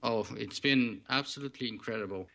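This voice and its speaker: Bright-voiced man with a thick Bulgarian accent. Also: medium pitch, brisk. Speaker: podcast guest. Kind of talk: interview, telling his story.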